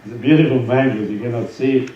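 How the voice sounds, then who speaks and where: Guy doing a slow informal spiritual talk, reading from a book, super echoey and kinda muffled recording. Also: mid-range voice, warm tone. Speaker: swami, yoga teacher. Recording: roomy echo, distant mic, dull sound, faint noise.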